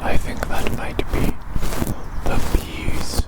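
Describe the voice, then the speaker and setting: A British ASMR host whispering a roleplay slowly and repetitively, doting on the listener, outdoors in a field.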